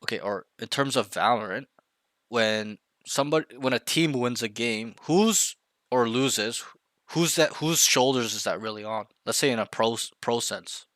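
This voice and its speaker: A man's voice: medium pitch, normal speed, fairly bright tone, dry recording, clean studio sound. A man coaching a younger player, blunt and emphatic, repeating key points to drive them home. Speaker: game coach. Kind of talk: coaching session, direct feedback.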